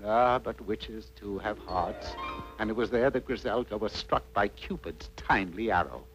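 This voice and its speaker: A man's voice: lowish voice, moderate pace, music in the background. A man narrating a scripted cartoon fairy tale in a wry, mock-dramatic storytelling manner. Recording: faintly noisy old cartoon soundtrack.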